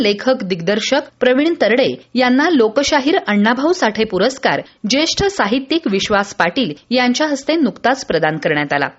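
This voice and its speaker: A woman from presenting a scripted radio newsreel in a formal, measured way. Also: on the high side, dull audio. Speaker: news presenter.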